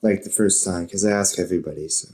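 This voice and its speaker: A man's voice: sits low, fast talker, mellow. A man hosting a podcast in casual chat from a bedroom, rambling and joking and swearing freely. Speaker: podcast host.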